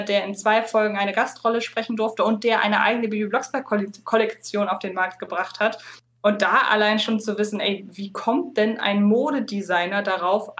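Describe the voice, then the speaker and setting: A woman's voice: mid-range voice, fairly bright tone. A woman chatting casually as a podcast guest, talking in a chatty, enthusiastic way. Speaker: podcast guest, fan podcast co-host. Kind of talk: casual interview chat.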